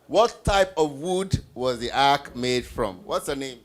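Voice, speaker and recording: Quiz host for a children's Bible event: slow delivery, medium pitch, encouraging, repeats answers to the group. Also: lively intonation. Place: live group event with children before a stage.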